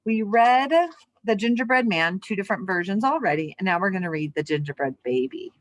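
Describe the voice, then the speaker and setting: A woman speaking as an elementary teacher to young children, in simple, clear wording suited to a lesson or storybook read-aloud. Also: medium pitch, animated, a little fast, no echo. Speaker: elementary physical education teacher.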